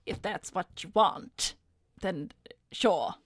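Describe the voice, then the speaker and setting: A woman voicing a smug, seductive hedgehog celebrity businesswoman in an animated roleplay stream, suave, flirtatious and coolly condescending. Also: lowish voice, slow pace, no room sound. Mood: coaxing. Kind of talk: improvised in-character livestream roleplay.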